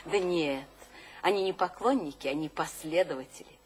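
An actress playing an imperious, fervently mystical literary salon hostess in an audio drama, with theatrical declamation and dramatic pauses. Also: female voice, deep voice, lightly acted. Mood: exalted, scornful. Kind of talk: audio drama stage acting.

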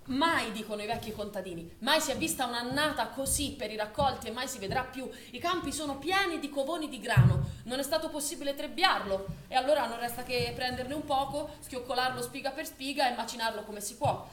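A woman's voice: high-pitched, brisk, hardly any pauses, lightly acted. An actress playing a woman witness to wartime hardship, narrating in an urgent theatrical radio-play style. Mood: sober, narrating. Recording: roomy stage recording with faint noise.

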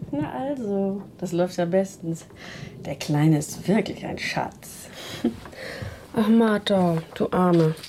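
A woman's voice: medium pitch, slow pace, warm tone, slight background noise, natural voice. An actress playing a chatty, self-assured spiritual woman in a naturalistic radio drama, with colloquial, gushing delivery. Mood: breezy, caring. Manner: colloquial, gushing, chuckling between phrases.

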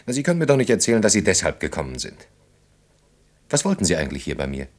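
A disillusioned man of about thirty in a German radio play. His voice sits deep and low, with a dry, ironic delivery that teases gently and muses sceptically. The style is conversational and naturalistic. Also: huge pitch swings, fast talker, full character voice. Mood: dryly amused.

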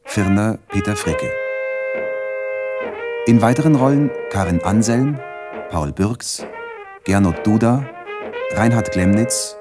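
Slow, low-voiced male announcer. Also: expressive. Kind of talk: formal credits announcement. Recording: loud music behind.

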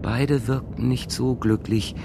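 A man narrating a radio drama from a script in a measured, literary storytelling manner. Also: mid-range voice, steady tone, creaky, background noise. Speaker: radio play narrator and announcer.

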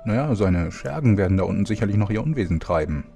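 A man acts a solemn narrator of a fantasy parody. His voice is deep and mellow, with faint music underneath the scripted storytelling. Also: animated, fast talker, creaky. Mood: explaining.

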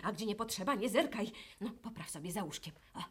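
A woman's voice: medium pitch, expressive, brisk, breathy edge. An actress playing a bossy, fussing, gossipy mother who nags and scolds in a theatrical radio play. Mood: nagging. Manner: nagging, scolding. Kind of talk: theatrical radio drama acting.

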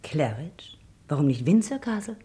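A cool, low-voiced daughter. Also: female voice, big pitch swings, moderate pace, dark tone, a little hiss or hum. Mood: soothing, brisk. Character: cool, practical grown daughter managing family affairs. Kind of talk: vintage German radio crime drama acting.

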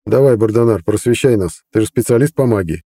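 A man doing a tired, steady young man, quiet and calm in a dark, mellow voice. Dry and close. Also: on the low side, fairly quick, slightly rough, dry recording. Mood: explaining.

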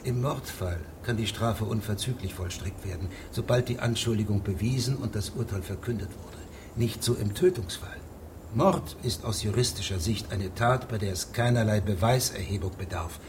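Casting: male actor as deadpan, hair-splitting judge, low register, formal and measured, noticeably noisy ambience. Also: close to an everyday voice. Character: shrewd, pedantic male federal judge. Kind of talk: radio drama acting.

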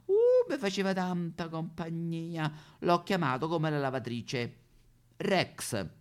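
A man's voice: a little high, very animated, slow. Man playing histrionic lonely Roman woman, wildly swooping. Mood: dramatic storytelling. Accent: Roman Italian. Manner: histrionic, repetitive, swinging between whispers and wailing. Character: lonely, melodramatic woman recounting absurd pet disasters. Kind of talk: theatrical comic monologue.

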